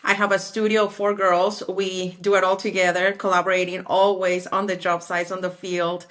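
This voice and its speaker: A woman in her forties from Mexico, speaking as an interview guest, lively and acting out remembered conversations. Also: on the low side, normal speed. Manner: animated, acts out quoted dialogue. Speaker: guest, architectural designer.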